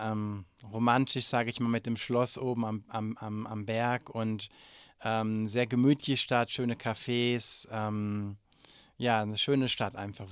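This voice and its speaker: A man's voice: mid-range voice, clean tone, no echo, clean studio sound. A man in his forties from Germany, answering interview questions hesitantly with many fillers and restarts. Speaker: interview guest.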